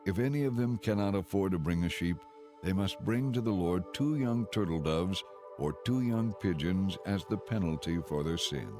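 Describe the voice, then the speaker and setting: Deep-voiced man solemnly reading scripture. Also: expressive, over music. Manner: measured, formal reading. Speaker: audiobook narrator.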